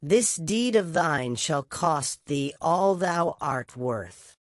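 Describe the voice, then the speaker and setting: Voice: medium pitch, animated, takes it slowly, no echo, clean studio sound. A narrator voicing a vengeful little female sparrow in a storybook, stern and taunting in archaic speech. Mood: grave threat.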